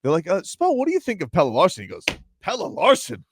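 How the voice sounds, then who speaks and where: A man's voice: high-pitched, fast talker, noisy background. A man hosting a sports radio show, joking and telling stories in casual banter. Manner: joking, animated storytelling.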